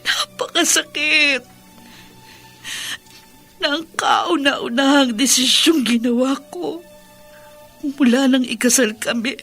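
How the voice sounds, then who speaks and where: A high-voiced, tearful grieving widow. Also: female voice, a bit bright, exaggerated voice. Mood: tearful despair. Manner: tearful, drawn-out, anguished delivery. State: crying. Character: grieving widowed mother of three, anguished. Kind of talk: melodramatic Tagalog radio drama acting. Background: music.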